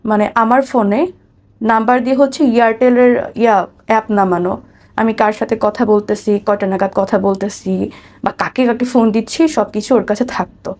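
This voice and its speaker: A woman with a Bangladeshi accent and a slightly high-pitched voice tells her own life story. She speaks as an interview guest, often repeating a phrase and addressing the listener respectfully. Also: steady tone, normal speed, a little mellow. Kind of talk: personal testimony in an interview.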